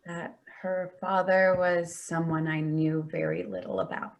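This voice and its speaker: A woman's voice: on the low side, big pitch swings, measured pace. A woman playing an exasperated, defensive adult daughter in a staged play reading, speaking tersely and bristling. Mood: guarded, terse.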